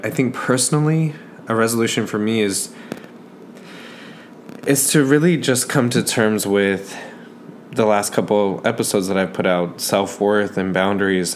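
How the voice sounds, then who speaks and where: A man's voice: deep voice, a little hiss or hum. A man in his twenties hosting a podcast, talking casually and personally in an earnest, reflective way. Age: 20s. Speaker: podcast host.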